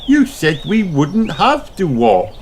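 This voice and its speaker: A man's voice: sits high, huge pitch swings, slow, music in the background, voice pushed up, heavily acted. A man voicing a grown-up mummy pig who exclaims and mildly protests in a children's storybook. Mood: protesting.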